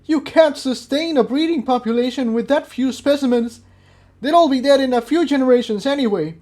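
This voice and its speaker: A man's voice: very high voice, a little fast, a little mellow, pitched above the performer's own voice. A man voicing an anguished, bitter young male pony in an indignant, overwrought way, as in an audio storybook. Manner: indignant, pleading, emotionally overwrought.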